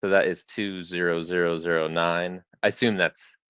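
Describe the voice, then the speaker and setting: A man's voice: low-pitched, fairly even intonation, normal speed, fairly bright tone, clean tone, no room sound, highs cut off. A man hosting a live real estate webinar, explaining data tools in a conversational way with frequent restarts.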